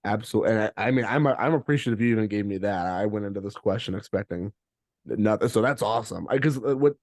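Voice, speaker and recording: Lowish-voiced male podcast host, dry sound. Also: fast talker, darkish tone, no room sound. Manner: stammering, repeats words, appreciative. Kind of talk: casual interview chat.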